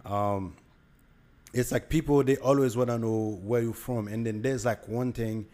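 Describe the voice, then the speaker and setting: A man's voice: medium pitch, fairly even intonation, measured pace, dark tone. A young man with a Haitian accent, talking casually as a podcast guest, with many fillers and restarts. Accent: Haitian Creole. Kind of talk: casual conversation.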